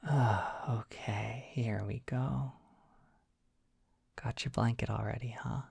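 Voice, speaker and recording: A performer voicing a gentle, shy young dragon boy in an ASMR comfort roleplay, speaking in a soft breathy whisper with a hesitant, tender, soothing manner. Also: mid-range voice, fairly even intonation, slow, no echo, heavily acted. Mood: fond, cozy.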